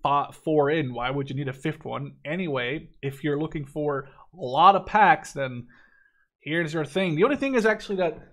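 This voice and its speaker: Male gaming host, twenties, from the Netherlands, slightly high-pitched voice, casual and opinionated review delivery. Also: moderate pace, fairly bright tone. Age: 20s. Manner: opinionated, informal with swearing. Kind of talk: casual game review commentary.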